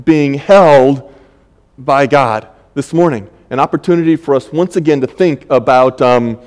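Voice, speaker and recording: Halting older preacher in a reverberant church. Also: male voice, medium pitch, darkish tone. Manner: conversational, halting, many fillers and restarts. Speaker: pastor. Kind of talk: sermon.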